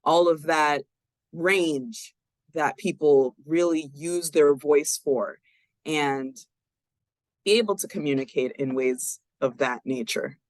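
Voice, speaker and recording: A woman talking as a guest on a show, slowly and in a fairly even, somewhat monotone voice. She hesitates, using fillers and correcting herself as she goes. The sound is dry, with no room echo. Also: sits low. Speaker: guest speaker. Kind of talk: casual interview talk.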